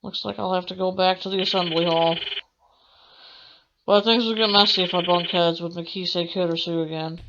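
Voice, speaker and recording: A woman's voice: lowish voice, fairly even intonation, normal speed, dull audio, noisy background. A young woman let's player reading visual novel text aloud, expressively and with the odd stumble. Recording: game music behind the voice. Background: video game music.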